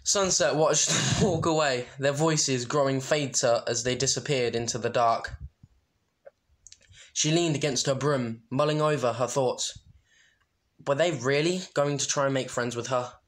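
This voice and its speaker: Slow-paced amateur story reader, medium pitch. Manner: informal, self-deprecating. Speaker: amateur fan fiction reader. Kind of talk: story narration with casual asides.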